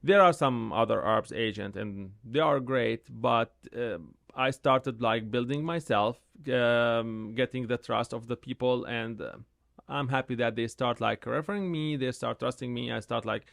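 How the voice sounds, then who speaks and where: A gravelly-voiced man in his thirties whose first language is Arabic speaks in halting, restarting English. He picks up energy when telling a story. His pitch sits in the middle range. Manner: halting, repeats words, animated when telling stories. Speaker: real estate agent, guest. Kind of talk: casual interview talk.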